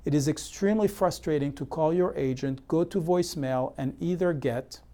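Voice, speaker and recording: A man delivering scripted instructional narration as an educational presenter, in a measured, methodical, explanatory way. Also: on the high side, dark tone. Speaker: presenter of real estate consumer education.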